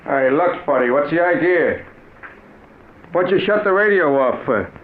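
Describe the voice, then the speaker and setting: An actor playing a suspicious, tough American bartender in an old radio crime drama, combative and slangy. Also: male voice, on the high side, lively intonation, unhurried. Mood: suspicious, belligerent. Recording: tinny vintage radio recording.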